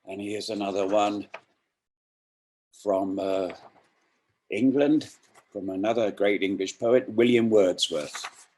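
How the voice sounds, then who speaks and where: Male poetry reader, lower register, extremely slow and reflective delivery. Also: darkish tone, a little hiss or hum, rough recording. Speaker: poetry reader introducing and reciting poems. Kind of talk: poetry reading with spoken introductions.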